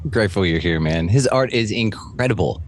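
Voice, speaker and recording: A man's voice: fairly low pitch, lively intonation, brisk, a little hiss or hum. A man hosting a casual online group chat in an encouraging, informal, slangy manner. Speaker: host of an online group show.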